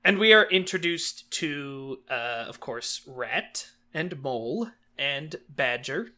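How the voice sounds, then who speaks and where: A man co-hosting a casual chat, animated and jokey, laughing often. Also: medium pitch, slow. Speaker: podcast co-host. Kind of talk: casual chat reviewing old cartoons.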